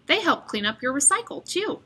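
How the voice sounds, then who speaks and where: A woman reading a children's picture book aloud as an expressive storyteller. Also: high-pitched, fairly quick.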